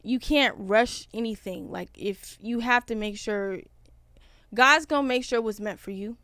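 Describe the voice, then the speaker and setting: A woman in her twenties hosting a podcast, chatting casually in an animated, slangy way. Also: a little high, unhurried, no room sound. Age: 20s. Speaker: podcast host.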